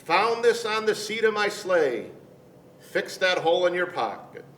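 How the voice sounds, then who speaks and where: A man reading a picture book aloud to children in a deliberate, dramatic storyteller's manner. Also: sits high, very animated, a bit bright. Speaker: storyteller dressed as Santa Claus, reading to children. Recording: echoey room, distant mic, faint noise.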